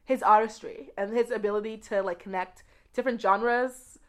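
Expressive woman guest, mid-pitched voice. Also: moderate pace. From grew up in the United States. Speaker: podcast guest, education researcher. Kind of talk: conversational interview answers.

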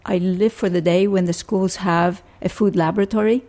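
Gravelly older woman. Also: mid-range voice, steady tone, a little mellow. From Puerto Rico. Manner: measured, thoughtful, with frequent restarts. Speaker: family physician guest. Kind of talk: interview answers.

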